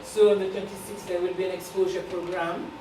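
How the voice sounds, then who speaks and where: Woman, slightly low voice, halting earnest announcement, distant mic, noisy background. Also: little pitch movement. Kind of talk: announcement and remarks to a gathering. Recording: echoey, noisy room from afar.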